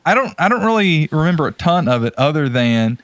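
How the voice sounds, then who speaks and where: A man's voice: on the high side, big pitch swings, brisk, a bit bright, dry recording. A man co-hosting a podcast in casual chat, rambling and often hesitating and correcting himself as he goes. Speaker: podcast co-host.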